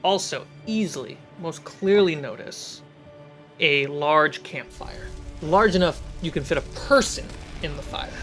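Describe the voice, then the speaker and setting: A game master narrating a fantasy tabletop adventure in a measured way, pausing between short atmospheric phrases. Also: medium pitch, a bit bright. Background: cinematic background music.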